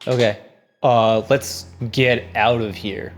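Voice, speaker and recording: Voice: mid-range voice, steady tone, takes it slowly. A tabletop game player chatting casually and improvising in character, with an informal, sweary manner. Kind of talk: casual chat and improvised roleplay. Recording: music laid under the voice.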